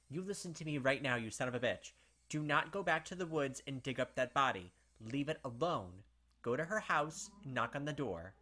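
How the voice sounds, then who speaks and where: A man hosting a gaming video, reading a visual novel aloud with animated, blunt casual commentary. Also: on the high side, a little hiss or hum.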